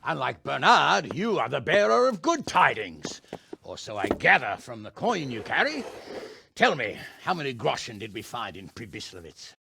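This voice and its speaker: An actor playing a blustering, hot-tempered older lord in a video game cutscene, gruff and quick to swear. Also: male voice, medium pitch, wildly swooping pitch, noisy background. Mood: jovial. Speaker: nobleman lord.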